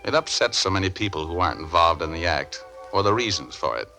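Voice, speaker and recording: An actor playing a world-weary private detective, wry and deadpan, in 1940s radio style. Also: male voice, sits low, fast. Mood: grim, wry. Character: world-weary hard-boiled male private detective narrating. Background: music.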